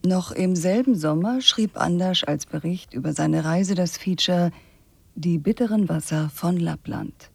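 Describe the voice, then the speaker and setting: A husky, gravelly presenter's voice, dark and dry in a close studio sound. The scripted radio introduction is delivered in a measured, sober way. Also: mid-range voice, no echo.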